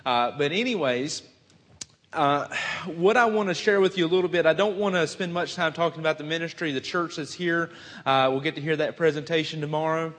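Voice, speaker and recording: A man's voice: fairly high pitch, a little fast, fairly bright tone. A man in his twenties with a Southern US accent, a missionary preacher introducing himself before his sermon in a folksy way with many "uh" fillers. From Virginia, US. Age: 20s. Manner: folksy, frequent "uh" fillers, self-deprecating asides. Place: church sanctuary. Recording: long hall reverb.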